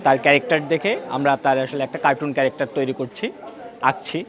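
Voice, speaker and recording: A man's voice: mid-range voice, fast talker. A male cartoonist with a Bangladeshi Bengali accent explaining his work in an interview. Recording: busy indoor public crowd noise.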